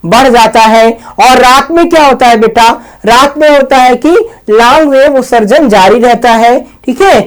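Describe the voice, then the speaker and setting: A man's voice: extremely high pitch, low-quality recording. Guy teaching students, goes point by point, asks questions then answers them himself, repeats stuff a lot. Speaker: teacher giving an agriculture science lecture. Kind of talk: lecture, explaining point by point to students.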